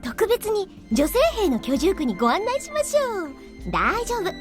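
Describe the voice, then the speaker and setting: Lively, high-pitched anime woman officer. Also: brisk, music in the background, heavily acted. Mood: bossy, cheerful. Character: brisk, bossy, cheerful female military officer in anime game. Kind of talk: Japanese visual novel voice acting.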